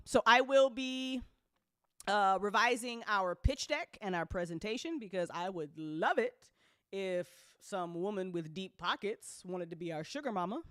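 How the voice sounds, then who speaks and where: A woman host gives an informal update in a medium-pitched voice. She is blunt and slangy, playful in her phrasing and quick to swear. The sound is dry, with very little room in it. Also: fairly bright tone, no echo. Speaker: host and audio drama producer. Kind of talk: casual livestream-style update talk.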